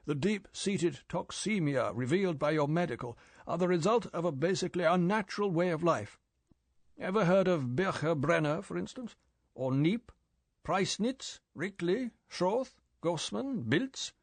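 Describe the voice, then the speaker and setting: A man's voice: high-pitched, expressive, a little slow, no room sound, voice pushed up, exaggerated voice. A man reading an audiobook, voicing M, a stern older male spy chief who lectures in a clipped, scolding way. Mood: stern lecturing.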